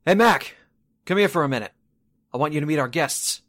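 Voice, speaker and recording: A man acts out a genial station host from a 1940s radio play. His pitch moves about in a lively way, and his manner is brisk and welcoming. The sound is close and dry. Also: dry recording. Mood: genial, businesslike. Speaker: actor in an amateur radio drama re-creation.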